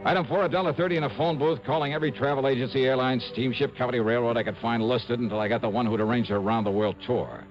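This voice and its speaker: A man's voice: medium pitch, fast, hardly any pauses, lightly acted. An actor playing a wry, hard-boiled male investigator in an old radio detective drama. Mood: brooding, narrating. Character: wry, dogged male insurance investigator hero. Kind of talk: 1950s-60s radio detective acting and narration. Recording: dull vintage radio recording, music behind.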